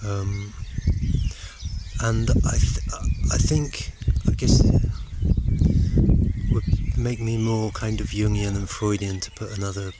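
Halting, low-voiced man musing outdoors. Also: steady tone. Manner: halting, searching for words, musing. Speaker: vlogger. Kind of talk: rambling reflective monologue to camera. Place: outdoors in open countryside. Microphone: handheld camera at arm's length. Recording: noisy outdoor camera recording. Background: birds and outdoor noise.

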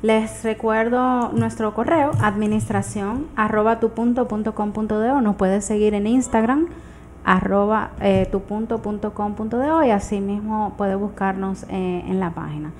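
A woman's voice: mid-range voice, steady tone, normal speed, a little mellow. A woman in her thirties with a Dominican accent, explaining things conversationally as a guest in a casual radio interview. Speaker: guest entrepreneur. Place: small radio studio, indoors. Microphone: studio microphone on arm. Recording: clear background noise.

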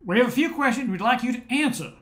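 A man plays a formal police officer with a notably high voice. He is courteous and official, in the plain style of an amateur radio drama. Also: animated, fast talker, a bit bright. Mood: grave, official. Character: formal, polite police officer bringing bad news. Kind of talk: amateur radio play acting.

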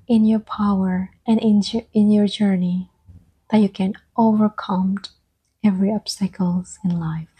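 A soft-spoken woman gently guiding an ASMR meditation, breathy and often slipping toward a whisper, with soothing, unhurried phrasing. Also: medium pitch, rough recording. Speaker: ASMR guide and host.

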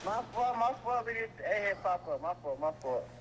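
High-voiced American man calling in. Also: lively intonation, a little fast, a crowd in the background, rough recording. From Philadelphia, USA. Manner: blunt, slangy, heavy swearing. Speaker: show caller. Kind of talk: casual phone chat.

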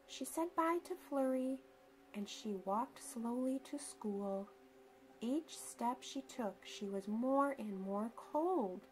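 A woman storyteller reading a children's picture book aloud, with expressive, deliberate emphasis for young listeners. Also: fairly high pitch, slight background noise, low-quality recording.

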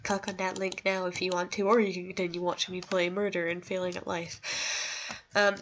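A woman's voice: lowish voice, little pitch movement, brisk, fairly bright tone, dull audio, a little hiss or hum. A young woman chatting casually over gameplay, rambling, self-deprecating and reassuring, recorded on a home computer microphone. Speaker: gaming video creator.

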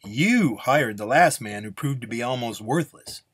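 Guy reading as a bossy nun in a western tale, raspy, curt, challenging, takes no nonsense. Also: mid-range voice, sing-song, brisk, fairly bright tone, dry recording, clean studio sound, pitched above the performer's own voice. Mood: scornful, angry. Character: sharp-tongued defiant young nun, foul-mouthed, commanding.

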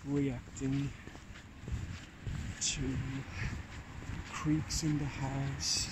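A man talks slowly to his camera in a hushed, halting voice and stumbles over fillers and restarts. He sits mid-pitched for a man. Loud outdoor noise from a rural spot runs under him the whole time. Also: completely flat delivery. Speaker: paranormal vlogger. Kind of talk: casual vlog talk.

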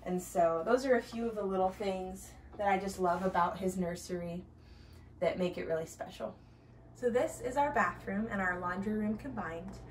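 A woman's voice: medium pitch, moderate pace, slight background noise. A young stay-at-home mom, pregnant, giving an upbeat, chatty tour of her small home while walking room to room. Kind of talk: casual home tour vlog. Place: small home with kitchen, nursery and bathroom. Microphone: camera a few steps away. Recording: roomy, slightly distant sound, faint ambience.

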